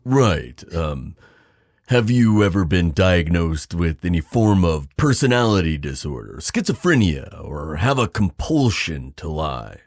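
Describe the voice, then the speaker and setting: A man acting an earnest, halting, clinical paranormal investigator in an audio drama. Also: low-pitched, sing-song, dry recording, clean studio sound, heavily acted.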